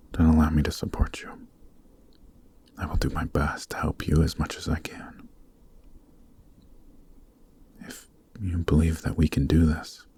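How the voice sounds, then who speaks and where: Man voicing a very deep, whispery Demon King. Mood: earnest, resolved. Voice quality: very deep, soft, breathy, half-whispered. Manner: slow, solemn, earnest, formal phrasing. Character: weary, noble adult male Demon King, sorrowful and gentle. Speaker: voice actor. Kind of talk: ASMR fantasy roleplay acting.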